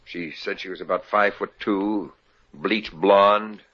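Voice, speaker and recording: A man's voice: lowish voice, measured pace. An actor playing a grumbling, sarcastic young salesman in a 1950s radio comedy. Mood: sulky. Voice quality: sardonic, grumbling. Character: grumpy young salesman boyfriend. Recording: dull lo-fi old radio transcription.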